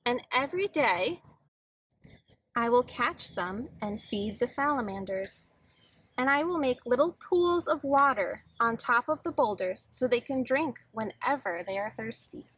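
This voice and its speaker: A woman's voice: on the high side, expressive, measured pace, a bit bright, breathy edge, no room sound, full character voice, poor audio. A woman reading aloud as an eager, imaginative little boy in a children's picture book, earnest and dreamy.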